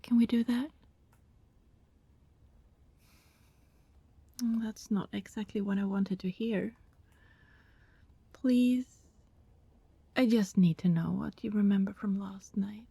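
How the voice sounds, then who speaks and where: A woman playing an anxious, apologetic vampire neighbor in an ASMR roleplay, soft and hesitant, often whispering, with politely formal wording. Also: mid-range voice, a little slow, gravelly, pauses often, slightly rough, no echo. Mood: hushed, coaxing.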